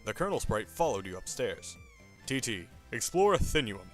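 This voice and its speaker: A man's voice: medium pitch, sing-song, normal speed, soft background music. A man narrating a scripted comic dub in a theatrical, mock-serious style.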